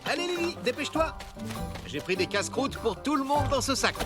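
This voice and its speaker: Casting: eager cartoon father figure, very high for a man, animated delivery, music behind. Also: a little fast. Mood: chivvying. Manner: eager, chivvying. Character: Tom, eager fatherly adult man guiding children outdoors. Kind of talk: animated series voice acting.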